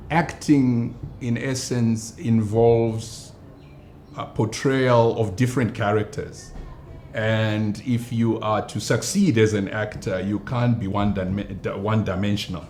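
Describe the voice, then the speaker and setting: A man, a pastor and actor, answering interview questions in a measured, hesitant way with many fillers. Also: fairly low pitch, fairly even intonation, a little mellow. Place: studio. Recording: echoey studio with faint noise.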